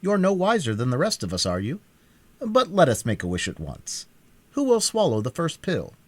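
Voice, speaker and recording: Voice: medium pitch, wildly swooping pitch, normal speed, no echo, voice pushed up. A reader voicing a polite, earnest stuffed scarecrow in a storybook, speaking emphatically.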